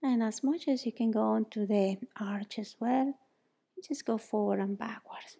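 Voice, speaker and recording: Gravelly, soft-spoken Mexican woman. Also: fairly high pitch, normal speed. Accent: Mexican Spanish. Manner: soothing, encouraging, often drops to a near whisper. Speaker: yoga teacher. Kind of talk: guided exercise instruction. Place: bright indoor yoga studio.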